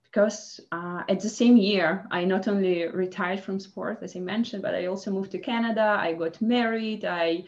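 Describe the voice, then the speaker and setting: A woman in her thirties from Russia with a Russian accent, telling her story as an interview guest in halting, casual speech with restarts. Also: mid-range voice, steady tone, lo-fi sound. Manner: halting, frequent restarts and laughs. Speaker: guest, former Olympic skier. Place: home office, indoors.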